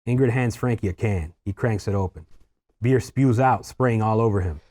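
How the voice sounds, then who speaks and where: A man with a fairly low voice narrates screenplay stage directions. He reads scripted action lines at a table read. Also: moderate pace, warm tone.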